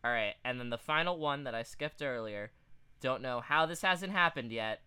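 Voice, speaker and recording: A man's voice: medium pitch, moderate pace, sharp tone, smooth, no echo. A man co-hosting a podcast in casual chat, speaking informally and swearing often. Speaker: podcast co-host.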